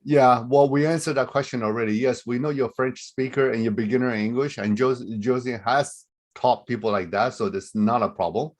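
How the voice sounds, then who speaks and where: A man's voice: mid-range voice, steady tone, fairly quick. A man presenting a live online webinar as a recruiter, explaining things in a loose, conversational way over a video call.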